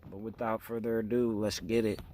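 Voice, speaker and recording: A man's voice: on the low side, flat delivery, fairly quick, warm tone. A man giving casual reaction commentary in an informal, slangy way. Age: adult, parent of a son. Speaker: reaction video host. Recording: faint background noise and room ambience.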